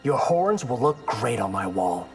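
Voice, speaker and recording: An actor playing a brash, defiant young ninja in a video game, cocky and sardonic. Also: male voice, mid-range voice, very animated, unhurried, over music.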